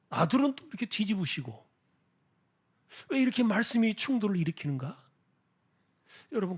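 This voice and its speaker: Husky male preacher with animated, swinging pitch. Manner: explanatory, rhetorical questions, deliberate emphasis. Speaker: pastor preaching at a church prayer meeting. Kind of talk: expository sermon.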